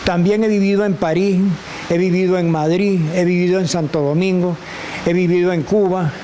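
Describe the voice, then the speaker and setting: An older man with a Venezuelan accent, a guest telling animated stories and acting out the voices of people he quotes. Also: sits high, normal speed, slight background noise. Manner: expressive, acts out quoted voices, laughs often. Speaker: guest, actor and teacher. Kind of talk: animated storytelling.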